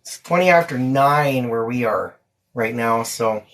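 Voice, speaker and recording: A woman's voice: extremely low pitch, measured pace. A woman hosting a livestream, talking in a casual, informal chat style. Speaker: livestream host and parent.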